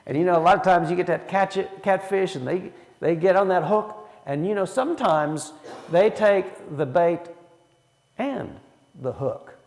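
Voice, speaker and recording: A man's voice: sits high, expressive, a little slow, darkish tone, big-room sound. A man leading a church Bible study in a folksy way, asking the room questions as he teaches. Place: church hall.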